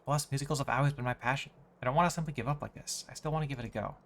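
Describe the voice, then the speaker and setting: A man's voice: mid-range voice, very fast, natural voice. A man voicing a proud, worried female musical theater performer with formal phrasing, from game dialogue. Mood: principled, indignant. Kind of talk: reading game dialogue aloud.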